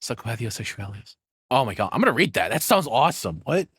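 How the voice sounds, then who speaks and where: A man's voice: medium pitch, very animated, quick pace, a bit bright, husky, no echo, clean studio sound. A man giving casual, informal reaction commentary as a streamer, with hesitant asides. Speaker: VTuber reacting to a video.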